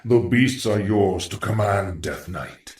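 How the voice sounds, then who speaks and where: A man voicing a solemn, sinister undead lich in a fantasy game, grave and measured, with a hollow ghostly tone. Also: lowish voice, lively intonation, a little mellow. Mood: solemn summoning. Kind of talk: dramatic fantasy game acting. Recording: echoing, distant-sounding voice.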